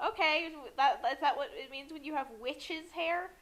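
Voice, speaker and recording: A woman co-commentating in casual comic banter, incredulous and questioning, with halting restarts. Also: sits high, a bit bright. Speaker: co-commentator reacting to the reading.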